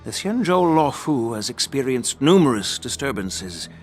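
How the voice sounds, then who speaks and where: An elderly, venerable strategist in a game story scene. He chooses his words formally and with weight, his mid-pitched voice rising and falling freely. Faint music plays behind him. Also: sing-song. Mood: resolute. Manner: formal, deliberate, weighty phrasing. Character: venerable elder statesman, measured, sagely strategist. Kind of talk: scripted video game dialogue.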